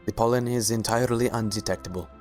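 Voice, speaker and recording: A man voicing a suave, courtly pony sultan with measured, formal, polite speech in a dramatic reading. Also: mid-range voice, fairly even intonation, a little mellow, soft background music, lightly acted. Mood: amused, charming. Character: suave, regal, courtly male alicorn sultan. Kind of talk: fan-fiction dramatic reading.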